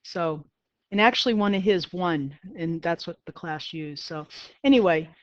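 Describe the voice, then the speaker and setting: A middle-aged math teacher with a Boston accent talks her class through a lesson, chatty and quick to poke fun at herself. Her voice is smooth and clear, and the sound is dry but a little dull and lo-fi. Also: lowish voice, no room sound. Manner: chatty, self-deprecating, talks to students. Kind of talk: classroom lecture.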